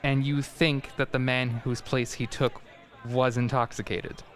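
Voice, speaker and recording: Man acting as gushing publicity man, department store employee, mid pitch, effusive, student radio drama. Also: little pitch movement, unhurried, a bit bright, close to an everyday voice.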